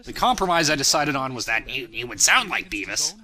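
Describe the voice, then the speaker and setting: A man's voice: mid-range voice, very animated, a little fast, bright, forceful tone. A young man giving a casual, rambling and self-deprecating commentary on his own work. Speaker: creator of a fan parody series, commentator.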